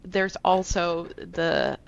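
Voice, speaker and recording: Woman author with a gravelly voice. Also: fairly low pitch, very slow, highs cut off. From Canada. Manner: chatty, many ums and restarts. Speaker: author, guest. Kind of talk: casual interview talk.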